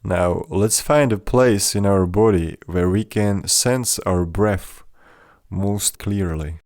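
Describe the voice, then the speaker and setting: A man leading a guided mindfulness meditation in a gentle, measured, instructional manner. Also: deep voice, no room sound, clean studio sound.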